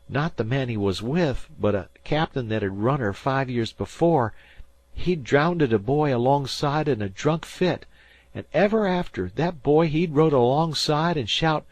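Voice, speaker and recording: A man's voice: medium pitch, no room sound, voice pushed up, lightly acted. A man voicing a frightened teenage fisherman boy who talks in rustic old-time dialect.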